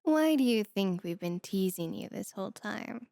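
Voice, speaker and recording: A woman's voice: mid-range voice, expressive, moderate pace, vocal fry, mellow, no echo, studio quality. A woman voicing a teasing, confident succubus demoness in an ASMR roleplay, with a sultry, coaxing manner. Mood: seductive, playful.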